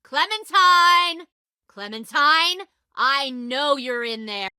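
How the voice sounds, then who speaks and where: An actress playing a hardened raider leader in a video game, speaking in a deliberate, threatening, condescending way with bitter control. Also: female voice, high voice, expressive, bright, forceful tone, no echo, clean studio sound, heavily acted. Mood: urgent, commanding. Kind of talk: dramatic video game voice acting.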